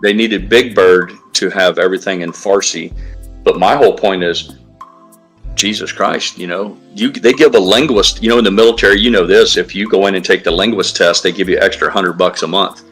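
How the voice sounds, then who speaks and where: A man's voice: sits low, moderate pace, fairly bright tone, soft background music. A man co-hosting a casual livestream, talking in a blunt, profane, ranting way. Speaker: livestream co-host. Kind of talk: casual stream chat.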